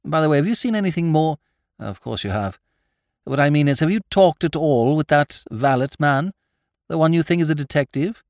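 A man voicing a witty, sardonic gentleman rogue who lectures his sidekick with theatrical irony. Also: fairly high pitch, lively intonation, moderate pace, dry recording, studio quality, pitched above the performer's own voice. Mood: wry. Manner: ironic, theatrical, exasperated tirades.

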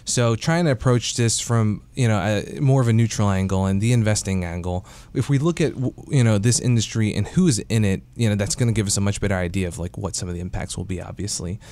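A man's voice: on the low side, a little fast, few pauses, darkish tone. A man hosting an investing podcast in a casual, conversational way, with frequent fillers. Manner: conversational, many fillers like "you know" and "uh". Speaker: podcast host.

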